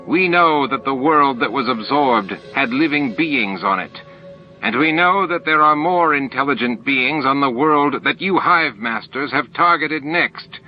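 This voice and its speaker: A man's voice: medium pitch, expressive, unhurried, pitched above the performer's own voice, natural voice. A man voicing a defiant, righteous female alien rebel with a snout, firm and accusing, in an audiobook. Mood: righteous anger. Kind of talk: audiobook character acting. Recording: dull lo-fi tape with music.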